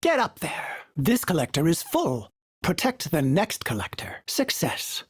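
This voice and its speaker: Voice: huge pitch swings, measured pace, husky, no echo, full character voice. A performer voicing a polite, fussy male starship AI who speaks of himself in the third person, through a glitchy synthetic filter, with dry wit and a wistful tone. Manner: courteous, dry wit, sudden pitch jumps. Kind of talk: game character voice lines.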